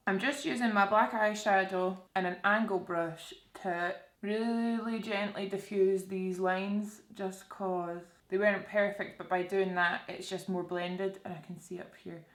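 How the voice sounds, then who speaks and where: A woman's voice: mid-range voice, a bit bright. A young woman with a Scottish accent chatting casually through a makeup tutorial, often putting questions to her viewers. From Scotland. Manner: informal, conversational, addresses viewers with questions. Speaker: beauty vlogger presenting a makeup tutorial. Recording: roomy, echoey indoor sound.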